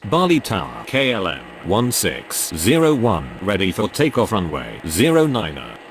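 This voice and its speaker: A man's voice: lowish voice, wildly swooping pitch, moderate pace. A man voicing a procedural pilot and air traffic controller in a game, with clipped, scripted radio calls. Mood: businesslike. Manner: clipped, formulaic phrasing.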